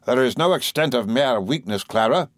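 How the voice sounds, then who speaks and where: A man's voice: medium pitch, lively intonation, no room sound, studio quality, voice pushed up, close to an everyday voice. A man voicing a stern, arrogant husband who speaks with cold, pompous firmness in a storybook reading. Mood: cold severity. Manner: firm, pompous, cold, deliberate. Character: stern, tyrannical, arrogant husband, master of the house.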